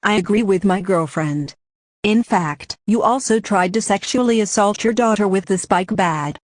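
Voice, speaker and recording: A man voicing an outraged young female witness in a high, shrill put-on voice. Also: moderate pace, no room sound, clean studio sound, close to an everyday voice.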